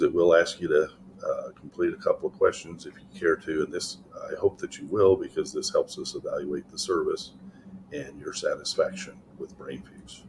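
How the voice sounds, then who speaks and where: An older man, a college writing tutor, walks through an online tutoring tool from his office, explaining in a relaxed way with frequent ums. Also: low-pitched, a little hiss or hum. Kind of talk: screen-share tutorial walkthrough. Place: office, indoors.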